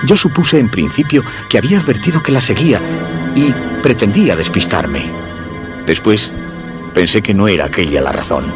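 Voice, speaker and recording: A low-voiced actor as a shy, obsessed man from a suspense radio play, speaking with a Spain accent. He confesses in measured, literary phrases with lively swings of pitch, over clear background music. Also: close to an everyday voice. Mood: measured, brooding. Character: Guillermo, shy obsessed man secretly following a young woman. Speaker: narrator and lead actor. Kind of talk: first-person suspense radio drama narration.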